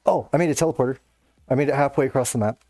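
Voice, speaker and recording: A man's voice: mid-range voice, dark tone, no echo. A young man streaming and chatting with friends, casual and joking. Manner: joking, playful, quick back-and-forth. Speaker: streamer and chat host. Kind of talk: casual group chat.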